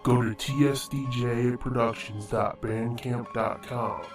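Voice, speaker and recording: A man reading scripted trailer narration in an ominous, measured way. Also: medium pitch, fairly even intonation, far from the mic. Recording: roomy narration set back from the mic over music.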